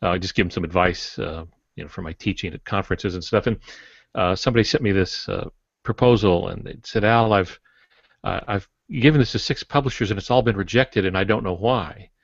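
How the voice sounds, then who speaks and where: Lowish-voiced man, dry and muffled podcast sound. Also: fairly quick, gravelly, breathy edge, no room sound. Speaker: writing teacher, podcast panelist. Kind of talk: casual podcast conversation. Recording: dry but dull podcast audio.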